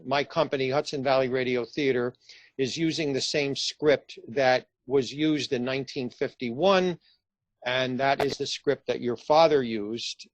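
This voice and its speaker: A man's voice: mid-range voice, dry recording, rough recording. A man conducting a casual interview, feeling his way through questions in a conversational style. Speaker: interviewer, radio theatre company director. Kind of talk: casual, hesitant interview chat.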